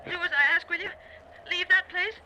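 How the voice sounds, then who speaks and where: An actress playing an anxious wife who pleads haltingly with her husband in an old-time radio drama. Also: extremely high pitch, sharp tone, full character voice. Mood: anxious, pleading. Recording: noisy old radio recording.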